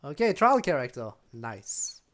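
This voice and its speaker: A woman's voice: a bass voice, big pitch swings, a little slow, a little hiss or hum. A woman streaming a video game, giving casual, offhand commentary as if half talking to herself while she plays. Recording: game music behind the voice. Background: video game music.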